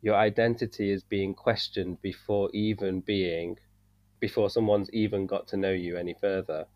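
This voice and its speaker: A man from the UK, a podcast guest, talking in an earnest, measured way and searching for words at times. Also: low voice, steady tone, dry recording. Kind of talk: casual discussion. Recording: dull dry sound.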